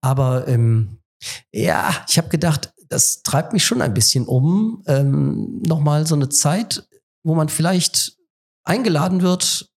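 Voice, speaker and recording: A man hosting a relaxed kitchen-table podcast in a rectory kitchen. He has a head cold and thinks aloud, pausing often with fillers. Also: a little high, creaky, breathy edge. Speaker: podcast host. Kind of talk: casual conversation.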